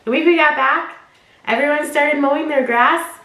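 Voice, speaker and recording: A woman's voice: high-pitched, normal speed, bright, forceful tone, roomy sound. A young woman talking casually in her vlog, bubbly and rambling and laughing often, her nose stuffed up from allergies. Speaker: fashion unboxing vlogger.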